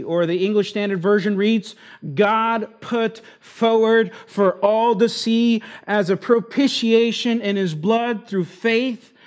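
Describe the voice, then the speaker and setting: A man with Argentine roots preaching an expository sermon, slowing down to stress key words. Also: high-pitched. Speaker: pastor.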